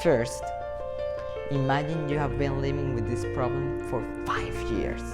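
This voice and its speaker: A young Venezuelan man speaks straight to camera, animated and playful, acting out imagined back-and-forth lines. His voice sits at a medium pitch, and music plays clearly underneath. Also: measured pace. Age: 20s. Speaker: motivational speaker. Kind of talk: talk to camera.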